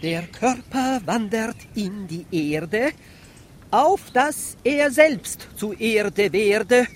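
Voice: medium pitch, expressive, very slow, a little hiss or hum. An actor playing a cranky, tipsy priest who preaches pompously in rhymed verse with a squawking voice. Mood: solemn, slurred preaching. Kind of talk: rhymed comic radio play.

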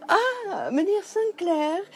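A woman's voice: extremely high pitch, sing-song, slow pace, exaggerated voice. A giddy, gushing, swooping society lady. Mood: bubbly, chattering. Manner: effusive, giggly, rambling, sprinkles French. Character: gushing, giddy high-society woman, chattering and affectionate. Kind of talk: 1980s Dutch radio drama acting.

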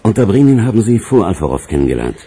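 A man's voice: fairly low pitch, big pitch swings, fast, dark tone, slightly rough. An actor playing a condescending veteran police investigator in a German radio crime drama, formal and pedantic as he interrogates. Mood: formal probing.

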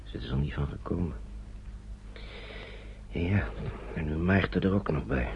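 An actor playing a weary, worried guardian of refugee children in a radio play, thinking aloud in a halting, breathy, gravelly murmur. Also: male voice, a bass voice. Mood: worried, brooding. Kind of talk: radio play acting, murmured inner monologue. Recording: dull old radio play recording, faint noise.

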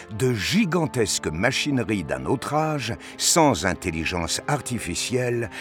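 A man with a very low voice narrates a science-fiction audio drama. His delivery is very slow and deliberate, with animated swings in pitch and faint music behind him.

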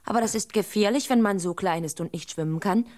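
An actress playing an earnest, tender-hearted young woman in a radio play, expressive and talking half to herself. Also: mid-range voice, fast talker, no room sound, studio quality, close to an everyday voice. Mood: tender, fond.

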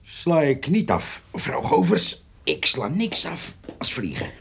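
An actor playing a sly, jovial country poacher with a husky voice and a folksy, drawling way of talking in a radio play. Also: male voice, medium pitch, sing-song, measured pace, full character voice. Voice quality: gravelly, husky. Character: sly, jovial old male poacher, gossipy country rascal. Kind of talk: radio drama acting.

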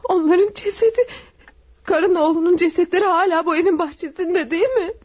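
An actress playing a young wife troubled by nightmares of a murder, anxious and tearful, in a radio play. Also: female voice, very high voice, brisk, darkish tone. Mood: uneasy. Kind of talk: classic radio drama acting.

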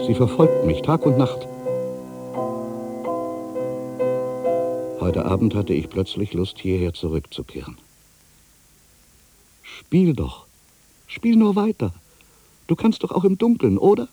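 An older man playing an ageing, bitter writer in a literary radio drama monologue, musing theatrically with rhetorical questions and pauses. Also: fairly low pitch. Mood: wistful, insistent. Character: ageing male writer, brooding, bitter, addressing his past.

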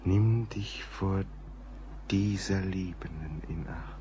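An actor playing a courteous, rational gentleman writer in a radio drama, speaking in a formal, earnest way. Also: sits low, slow pace, muffled recording, a little hiss or hum. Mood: gentle, solemn. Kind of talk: German radio play acting.

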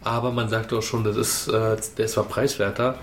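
A man's voice: fairly low pitch, flat delivery, fairly quick. A man co-hosting a podcast, telling anecdotes in a casual, rambling, conversational way. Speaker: podcast co-host. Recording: roomy sound, faint music.

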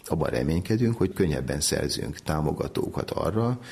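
Man, extremely deep, creaky fry, methodical explanatory delivery, researcher in an interview. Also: animated, darkish tone. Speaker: medical researcher, interview guest.

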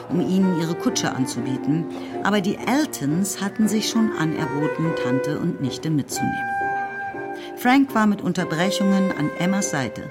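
A woman narrating a radio drama in a scripted literary style. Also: on the low side. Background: music.

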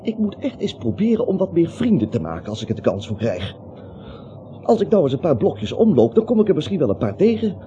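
A timid, frightened little man in a radio play, stammering and rambling to keep his nerve. His mellow voice swings widely up and down, on a dull recording with faint hiss. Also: fairly high pitch, huge pitch swings, fairly quick. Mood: anxious rambling. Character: anxious, timid little man, a cowardly reluctant vigilante.

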